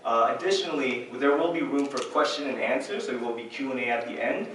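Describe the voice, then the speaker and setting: A man's voice: medium pitch, fast talker, fairly bright tone. A man moderating a panel at a live event, speaking loosely with lots of fillers and tag questions. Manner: loose, filler-heavy, says "right?". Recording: echoey hall, far from the mic, faint noise.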